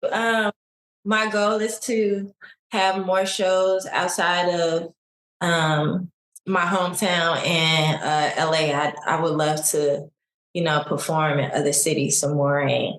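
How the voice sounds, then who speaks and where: Woman, young adult, from Chicago, low voice, relaxed, echoey room. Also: slow. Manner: relaxed, laid-back, many likes and you knows. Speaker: singer guest. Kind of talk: casual interview chat.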